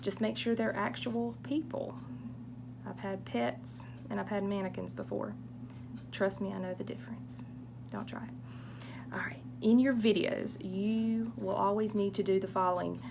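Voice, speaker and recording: A middle-aged woman with a strong Southern drawl talks students through a course Q&A, friendly and reassuring, with small chatty asides. Her voice has a gravelly edge. A steady hum and noise sit under the recording. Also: mid-range voice, unhurried. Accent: Southern US, eastern North Carolina. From eastern North Carolina. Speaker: college public speaking instructor.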